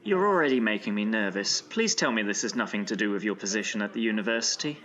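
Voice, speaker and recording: An actor playing a proper, dryly teasing but concerned gentleman friend in an audio drama, with old-fashioned polite diction. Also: lowish voice, animated, fast, lo-fi sound. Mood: worried.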